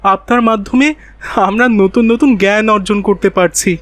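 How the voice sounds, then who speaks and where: A woman's voice: mid-range voice, normal speed, lightly acted. A woman voicing a friendly, devout village child in a children's cartoon, speaking politely and earnestly. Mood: grateful. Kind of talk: children's Islamic cartoon dialogue.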